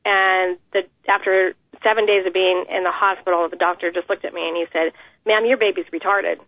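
Woman, interview guest, earnest, measured, pauses often, phone line, dry. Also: mid-range voice, fairly even intonation, no room sound. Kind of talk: phone interview, personal account.

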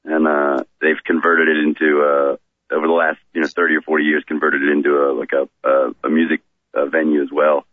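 Very deep-voiced man on a phone line. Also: dry recording. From Florida, raised in rural Maryland. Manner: relaxed, unhurried, with many fillers and restarts. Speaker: touring musician guest. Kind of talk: radio interview by phone.

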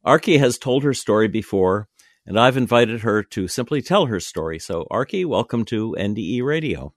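A man's voice: fairly low pitch, expressive, moderate pace, no echo, clean studio sound. A man hosting a radio interview show, speaking in a friendly, plain manner.

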